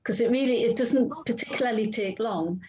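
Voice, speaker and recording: A woman's voice: on the high side, fairly quick, darkish tone, a little hiss or hum. A woman talking casually in an online club meeting, explaining how the meeting should run, often restarting her sentences. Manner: rambling, self-correcting, explaining. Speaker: club member. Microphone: video call. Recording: dull lo-fi video call audio.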